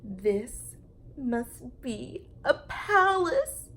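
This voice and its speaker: A woman's voice: high-pitched, very animated, extremely slow, a bit bright, pitched above the performer's own voice, heavily acted. A woman voicing a small, hopeful toy teddy bear in a storybook, drawing out words with wonder.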